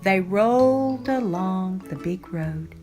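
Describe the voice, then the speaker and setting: An older woman narrates a picture book for young children at a very unhurried pace. Her pitch sits in a middle range, and she phrases each sentence deliberately and expressively. Music plays clearly behind her. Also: animated, darkish tone. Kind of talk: read-aloud storytime narration. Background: background music.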